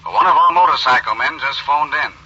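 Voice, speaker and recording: An actor playing a matter-of-fact state police officer reporting over a telephone in a 1940s radio crime drama. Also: male voice, a little high, brisk, over a noisy crowd. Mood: matter-of-fact, grim. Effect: telephone filter. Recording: thin phone line, old radio recording.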